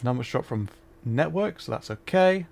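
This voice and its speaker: Male game streamer, mid-pitched voice, casual live commentary, chatting with viewers. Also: lively intonation, normal speed, vocal fry, a little mellow. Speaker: livestreamer playing a video game.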